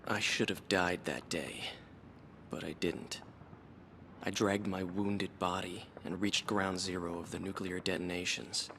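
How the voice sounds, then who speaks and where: An actor playing a war-weary, disillusioned mercenary pilot in a video game, brooding and philosophical in a gravelly voice. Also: male voice, low-pitched, little pitch movement, a little slow, fairly bright tone, slight background noise. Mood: somber. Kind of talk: dramatic interview-style monologue in a video game. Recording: music behind the voice.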